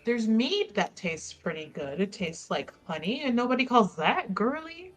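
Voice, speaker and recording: A woman playing a dry, protective, dutiful hostess in improvised tabletop roleplay, wry and measured. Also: on the high side, very animated, soft background music.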